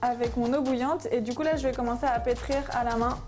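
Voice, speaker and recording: A woman cooking on a show, calmly explaining each recipe step in a practical way. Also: fairly high pitch, fast talker. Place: home kitchen. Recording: kitchen sound with background music.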